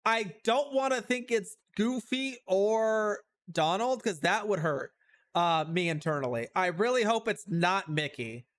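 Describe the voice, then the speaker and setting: Animated, high-pitched man streaming a game. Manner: talks to himself, guessing aloud. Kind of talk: livestream game reaction commentary. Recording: music behind the voice.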